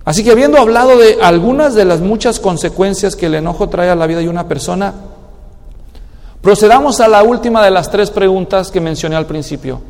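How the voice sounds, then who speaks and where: A man's voice: high-pitched, a little fast, a little mellow. A man preaching a sermon in an emphatic manner, now and then mimicking people's excuses. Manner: emphatic, acts out excuses in a mocking tone. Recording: long hall reverb.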